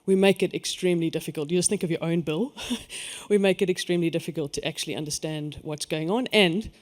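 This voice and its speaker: A man's voice: on the high side, fairly quick. A man with a South African accent speaking on a conference panel, stressing key words and then letting his voice fall away. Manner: emphatic, lifts his voice on key points then trails off. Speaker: energy industry panelist.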